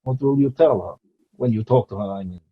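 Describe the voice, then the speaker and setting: A man's voice: medium pitch, fairly quick, slightly rough, natural voice. A man reading the part of a scruffy, teasing, reckless 28-year-old boyfriend in an amateur script table read. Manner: flippant, needling. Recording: dull lo-fi recording.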